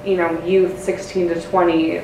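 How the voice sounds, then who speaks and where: A woman's voice: on the low side, flat delivery, moderate pace, a little mellow. A young woman speaking spontaneously from the audience in a lecture room, picked up from a distance with echo. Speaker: audience member. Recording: distant, echoey room mic with noise.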